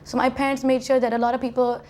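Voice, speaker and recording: Young woman from saudi arabia, fast chatty interview answers, kinda high voice. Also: little pitch movement, darkish tone, slight background noise. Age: young adult. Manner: chatty, many restarts and "like" fillers. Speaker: college student guest. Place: university dorm.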